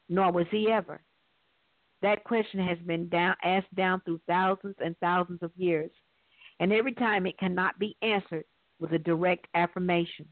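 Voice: medium pitch, no echo, natural voice. Narrator reading as young warrior queen, solemn, regretful, resolute, story heroine, narrow dry phone recording. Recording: thin, dry phone-line sound.

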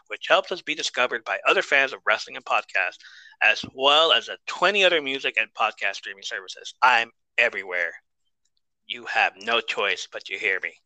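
A man hosting a casual podcast, reading listener shout-outs and the outro in an easygoing, rambling way with a soothing voice. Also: medium pitch, dry recording, low-quality recording. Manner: easygoing, rambling, lots of fillers and laughs. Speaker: podcast host.

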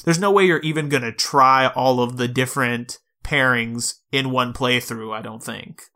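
A man's voice: medium pitch, moderate pace, sharp tone. A man co-hosting a podcast, talking casually about video games and explaining things step by step. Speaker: podcast host. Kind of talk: casual video game discussion.

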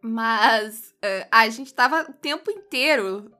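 High-pitched woman, Brazilian accent, bright forceful tone, casual podcast banter, playfully bossy storyteller. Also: lively intonation, slow, faint music behind. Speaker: podcast co-host.

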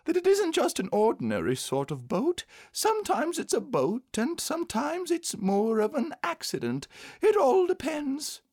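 A reader voicing a small, proud, innocent male toy bear in a children's storybook, speaking in a slow, earnest, simple way. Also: high voice, wildly swooping pitch, a little mellow, dry recording, studio quality, voice pushed up, full character voice.

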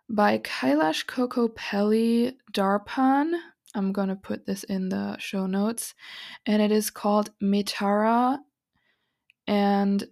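Woman, raspy gravelly voice, spiritual podcast host, earnest and encouraging, rambling. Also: medium pitch, darkish tone. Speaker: podcast host and psychic reader. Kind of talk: casual spiritual podcast monologue.

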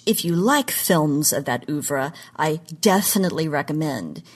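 A woman presenting a scripted podcast segment on genre history in an articulate, emphatic, lecture-like manner. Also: on the low side.

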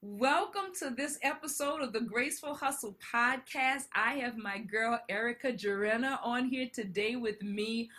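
A woman's voice: on the high side, normal speed, few pauses, sharp tone. A woman from New Orleans hosting a faith and career podcast interview, upbeat and enthusiastic as she welcomes and questions her guest. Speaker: podcast host.

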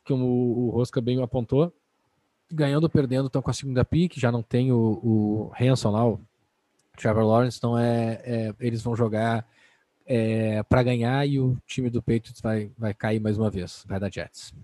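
A man's voice: mid-range voice, fairly even intonation, normal speed, warm tone, dry recording. A Brazilian man debating football casually as a podcast panelist, opinionated and slangy.

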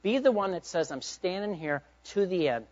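High-voiced preaching man, lo-fi. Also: expressive, normal speed. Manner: emphatic preaching, swinging between loud urging and hushed asides. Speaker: pastor. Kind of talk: sermon. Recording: dull lo-fi sound.

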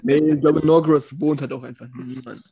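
A man's voice: fairly high pitch, fairly even intonation, a little fast, warm tone, breathy edge. A young man co-hosting a podcast, chatting casually with friends in colloquial slang. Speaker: podcast co-host. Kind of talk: casual chat among friends.

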